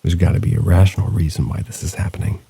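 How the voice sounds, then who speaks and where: Low gravelly guy reading spooky stories, dark husky tone, steady and low-key. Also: quick pace. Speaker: host and narrator. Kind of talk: reading listener stories aloud.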